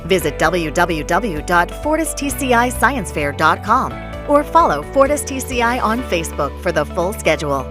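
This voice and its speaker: Woman, lower-pitched, lively intonation, scripted advert announcer, music underneath. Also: moderate pace. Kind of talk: scripted promotional voice-over.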